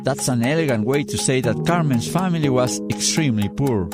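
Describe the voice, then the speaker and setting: A man with a medium-pitched voice hosts an interview podcast. He delivers introductions and questions in a host's conversational style, with music sometimes behind him. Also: animated, a little fast. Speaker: podcast host.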